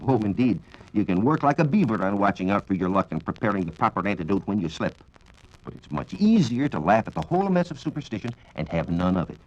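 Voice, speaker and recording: A man with an American accent giving a formal, measured, scripted 1940s radio science talk on a dull vintage recording. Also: on the low side, big pitch swings, darkish tone. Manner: formal, measured lecturing with rhetorical questions. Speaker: science reporter and radio speaker. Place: radio studio.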